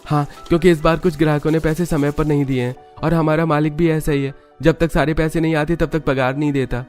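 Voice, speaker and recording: Man as a kindly, higher-voiced working father. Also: steady tone, a little fast, darkish tone, close to an everyday voice. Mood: mild, earnest. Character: kindly hardworking young father, a buttermilk seller. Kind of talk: cartoon story acting. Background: music.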